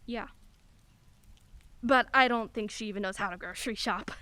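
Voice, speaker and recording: A woman's voice: medium pitch, normal speed, pushed and bright, clear, resonant tone, no room sound, natural voice. An actress playing a wry, candid single mother in her forties in a radio play, a lawyer who laughs easily while talking. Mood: conversational, wry.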